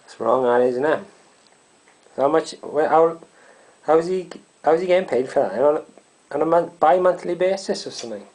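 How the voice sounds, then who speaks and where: A woman's voice: deep voice, expressive, normal speed, pauses often, dark tone, low-quality recording. A woman, chatting casually and asking questions. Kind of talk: casual chat.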